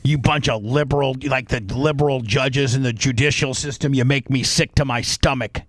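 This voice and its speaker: A man's voice: mid-range voice, steady tone, normal speed, fairly bright tone. A man hosting a talk show with heated, opinionated commentary, blunt and crude, repeating phrases for emphasis.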